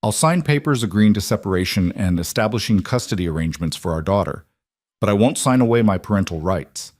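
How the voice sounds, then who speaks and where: A man voices a remorseful, controlling mafia boss in an audiobook romance. He is a low-voiced husband, measured and insistent, in a dry recording. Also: lively intonation, no room sound. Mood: measured, resolute.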